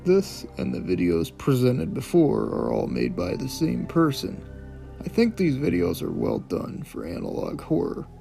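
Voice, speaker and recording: A man's voice: mid-range voice, wildly swooping pitch, a little slow, vocal fry, mellow, soft background music. A man hosting a casual video essay on horror clips, speaking in an informal, opinionated way with plenty of swearing. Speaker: host of a video series reviewing analog horror.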